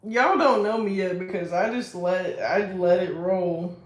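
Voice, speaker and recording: A young woman with a deep, strong voice, chatting casually on a live stream in a reflective, self-assured way. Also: a little slow. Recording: echoey room.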